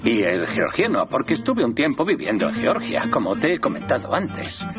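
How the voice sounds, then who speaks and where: A man's voice: medium pitch, wildly swooping pitch, normal speed. An actor dubbing a bearded man who brags about his languages and later yelps in pain while stuck under a table. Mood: boastful. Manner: boastful, flustered, yelping. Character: bearded man, boastful amateur cook. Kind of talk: Spanish dub of a TV drama. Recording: slightly dull TV audio over music.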